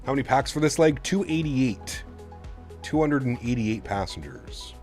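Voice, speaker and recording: A man talks through each step out loud in a relaxed, chatty way, often turning to his viewers to ask what they think. His voice sits in an ordinary middle pitch. Soft music plays faintly behind him. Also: normal speed. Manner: chatty, thinks aloud, asks viewers directly. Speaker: flight sim streamer. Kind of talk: casual live-stream commentary while programming a flight computer.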